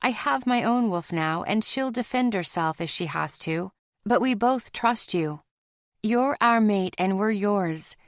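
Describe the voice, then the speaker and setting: A woman voicing a gentle but stubborn young woman who speaks softly and soothingly in an audiobook romance. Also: mid-range voice, animated, a little slow, no room sound, studio quality. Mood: reassuring. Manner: soft and soothing.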